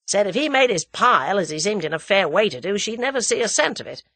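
A woman's voice: medium pitch, sing-song, fast talker, a bit bright, dry recording, voice pushed up. A woman voicing a brash, impatient American man in his thirties, blunt and slangy, in a storybook reading. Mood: explaining.

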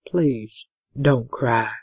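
A woman voicing a gentle, tender male lover in a romance audiobook, speaking low and breathy. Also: a little slow, no echo, full character voice. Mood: soothing. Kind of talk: audiobook character dialogue.

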